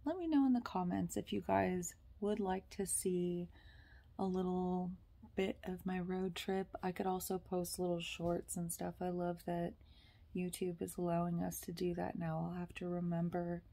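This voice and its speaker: A woman's voice: fairly low pitch, creaky. A woman in her thirties chatting casually to her phone camera from bed, sometimes dropping to a whisper. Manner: chatty, slips into soft whispers. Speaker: vlogger. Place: bedroom, lying on a bed indoors. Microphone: phone camera at arm's length.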